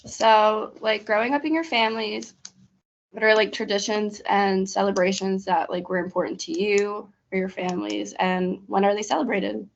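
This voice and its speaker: Teen girl hosting a school chat; upbeat, gravelly, recorded a bit muffled. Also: medium pitch, fairly even intonation, normal speed. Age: teens. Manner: upbeat, many fillers. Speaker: student host. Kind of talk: casual interview.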